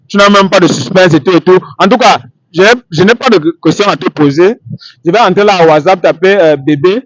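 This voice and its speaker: High-voiced man hosting street interviews. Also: dry recording, muffled recording. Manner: repetitive, halting phrasing.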